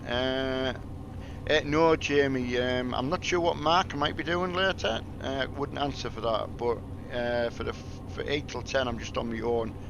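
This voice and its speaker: A man chatting casually in a rambling, halting way as he livestreams a driving game. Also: fairly even intonation, vocal fry, highs cut off. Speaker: game streamer. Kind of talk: casual livestream chat while playing a truck game. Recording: loud vehicle engine rumble behind. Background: truck game engine and road noise.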